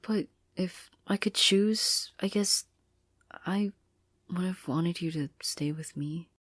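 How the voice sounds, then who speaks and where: A woman voicing an anxious, apologetic teenage girl in a story reading, hesitant and pleading, often dropping to a breathy near-whisper. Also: lowish voice, slow pace, no room sound, clean studio sound, pitched above the performer's own voice. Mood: tearful, pleading.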